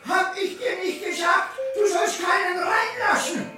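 An actor playing a frail, cranky old fanatic in a radio play, theatrical and ranting. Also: male voice, extremely high pitch, a little slow, over music. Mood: suspicious, bellowing. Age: older. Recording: echoey room, noisy background.

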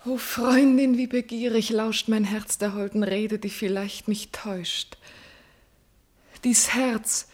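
An actress playing a proud, sorrowful princess in a classical verse radio drama, speaking in a solemn, deliberate, declamatory way. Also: female voice, a little high, fairly even intonation, darkish tone. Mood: yearning. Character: proud sorrowful captive princess of royal blood, secretly in love.

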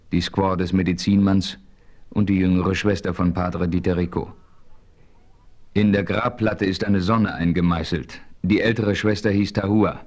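Deep-voiced radio play narrator, man. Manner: calm, measured storytelling. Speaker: narrator and hero.

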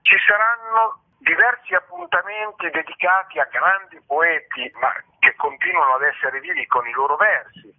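A middle-aged man with a fairly high voice gives an interview over a thin, telephone-like connection. He explains as an event director would, haltingly, doubling back on words and correcting himself. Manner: hesitant, with repeated words and self-corrections. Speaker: festival director being interviewed. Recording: narrow, tinny phone line.

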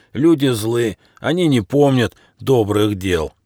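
Wheezy, coughing old stray dog. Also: male voice, mid-range voice, vocal fry, a little mellow, dry recording, clean studio sound, heavily acted. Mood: bitter. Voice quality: wheezy, coughing between phrases. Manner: grumbling, plaintive, halting delivery. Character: old, hungry, bitter stray dog, self-pitying.

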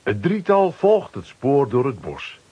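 Very raspy, expressive male storyteller. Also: medium pitch, measured pace, dull audio. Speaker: narrator. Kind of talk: scripted storytelling for children's radio play.

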